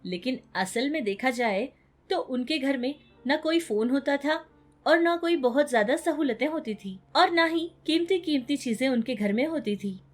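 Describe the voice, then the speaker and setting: A high-pitched woman narrates a scripted moral story for children. Faint music plays behind her storytelling. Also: expressive, unhurried.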